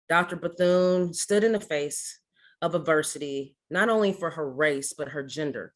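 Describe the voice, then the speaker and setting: A woman in her forties, from Ohio, giving a keynote speech at a ceremony in a measured, rhetorical way, building toward challenge questions to the audience. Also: sits low. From Ohio, USA. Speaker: keynote speaker.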